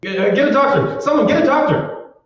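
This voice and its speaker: An actor playing an excitable young man in an old-time radio thriller, stammering and urgent. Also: sits high, fairly quick. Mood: panicked. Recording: echoey hall, distant mic, muffled.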